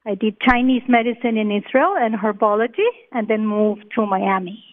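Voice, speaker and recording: A cheerful woman from Colombia with a Colombian Spanish accent, her voice a touch high. She comes through a tinny phone line and laughs easily. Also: steady tone, moderate pace. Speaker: cookbook author. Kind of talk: phone interview.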